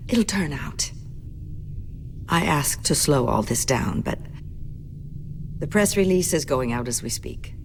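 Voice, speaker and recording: Low-voiced firm corporate executive. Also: female voice, a little hiss or hum. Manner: formal, measured, firm. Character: businesslike female company board executive, firm, coolly apologetic. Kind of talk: video game voice acting. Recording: music playing behind the voice.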